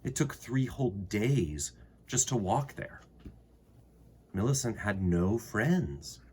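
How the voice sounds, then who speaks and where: A man reading a children's story aloud expressively, with deliberate phrasing and dramatic pauses. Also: lowish voice, lively intonation, a little mellow, husky.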